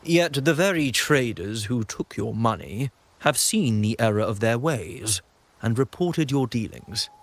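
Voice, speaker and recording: A man's voice: medium pitch, lively intonation, unhurried. A man playing a pompous, menacing acolyte of a religious order in a video game, speaking in formal, threatening phrases. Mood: smug taunting. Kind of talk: scripted video game voice acting.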